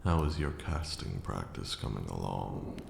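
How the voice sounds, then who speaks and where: Ancient vampire master male actor: unhurried, courtly, gravel-throated ASMR delivery, stern but caring, in a roomy, noisy recording. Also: lively intonation, full character voice. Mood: calm, lordly. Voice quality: gravelly, very deep. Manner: formal, archaic, measured phrasing. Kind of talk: ASMR roleplay, slow intimate monologue. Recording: roomy echo with audible background noise.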